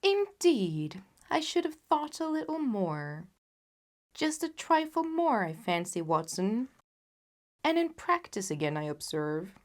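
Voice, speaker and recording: A woman's voice: mid-range voice, very animated, slow. A woman voicing a keen, self-assured male detective with a precise, teasing, knowing manner in an audiobook. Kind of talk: audiobook character voice.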